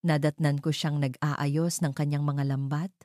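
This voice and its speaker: A woman reading a dramatic first-person story as a narrator, in a measured, deliberate way. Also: deep voice, flat delivery, warm tone, no room sound, studio quality.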